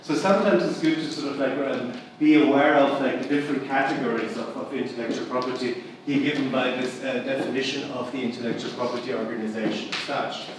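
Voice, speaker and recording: A man's voice: mid-range voice, steady tone, quick pace, hardly any pauses. Middle-aged German-accented man giving a chatty talk, noisy room in the background. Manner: chatty, many fillers and restarts. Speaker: university lecturer. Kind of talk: conference lecture. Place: large conference hall. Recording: echoey hall, voice from far off, background noise.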